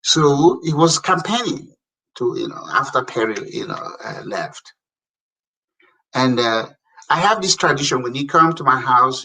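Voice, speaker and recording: A middle-aged man from Africa, a guest telling lively stories about public service in a casual talk, laughing often. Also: medium pitch, distant mic, rough recording. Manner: animated storyteller, laughs often. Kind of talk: casual radio conversation.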